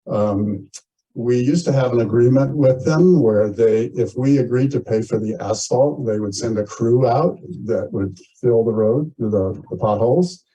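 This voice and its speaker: Husky American man, very dark tone. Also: mid-range voice, low-quality recording. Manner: plain-spoken, explanatory. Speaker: board meeting chair. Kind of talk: informal spoken announcements at a community meeting. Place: community meeting, shared over video call.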